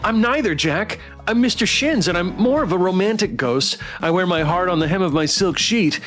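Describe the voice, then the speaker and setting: A man puts on a romantic ghost suitor from a spooky game, earnest and hammy. The voice is pushed high and moves around a lot. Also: lively intonation, moderate pace, a bit bright, soft background music. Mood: proud, romantic. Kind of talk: visual novel read-aloud.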